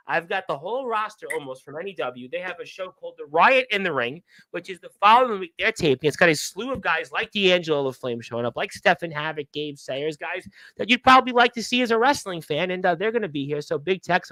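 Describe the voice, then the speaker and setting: A man's voice: high-pitched, expressive, quick pace, sharp tone, dry recording, low-quality recording. A man from Brooklyn, hosting a radio show and chatting in a rambling way, greeting listeners as he goes. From Brooklyn, New York. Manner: rambling, chatty, full of uh fillers. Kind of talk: casual chat with shout-outs to listeners.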